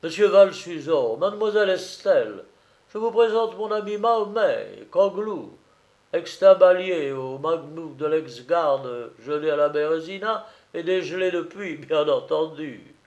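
A man voicing a blustering old Napoleonic drum-major in a storybook reading, booming and bombastic in colloquial soldier's talk. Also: lively intonation, unhurried, a little mellow, muffled recording. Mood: jovial, pompous.

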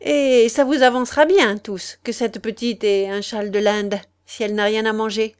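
A woman putting on a shrill, stubborn old woman. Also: fairly even intonation, normal speed, smooth, natural voice. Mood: scornful. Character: stubborn, haggling old woman refusing a costly gift.

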